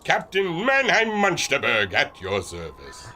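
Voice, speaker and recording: Bright, booming German airship captain. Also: male voice, wildly swooping pitch, measured pace, exaggerated voice. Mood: jovial, welcoming. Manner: hearty booming laugh, theatrical delivery. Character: jovial grand German-born airship captain and inventor, huge man. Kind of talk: pulp radio adventure serial acting.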